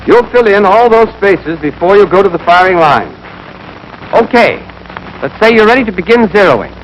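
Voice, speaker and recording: A man's voice: sits high, sing-song, moderate pace. A young American man giving scripted, clipped, step-by-step marksmanship instruction as an army instructor in a 1940s training film. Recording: noisy 1940s film soundtrack.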